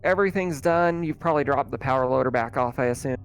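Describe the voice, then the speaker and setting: A man's voice: medium pitch, quick pace, low-quality recording. A man casually narrating and refereeing a tabletop roleplaying game as game master. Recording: noticeable background noise.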